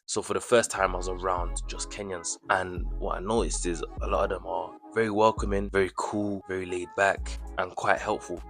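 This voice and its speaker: A young man from the UK talking casually to camera about his time playing football abroad, chatty and animated, with slangy asides to the viewer. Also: fairly low pitch. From United Kingdom. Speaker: vlogger and footballer.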